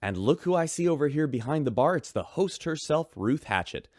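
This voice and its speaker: A man's voice: on the high side, expressive, a little fast, darkish tone, dry recording, close to an everyday voice. A man as an upbeat radio host. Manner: chatty, upbeat broadcaster.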